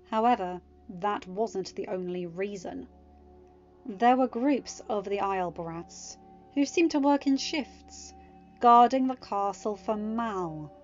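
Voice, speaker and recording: A woman reads a fan fiction story aloud with expressive delivery. Her voice sits at an ordinary middle pitch. The recording is a little muffled, with quiet music playing underneath.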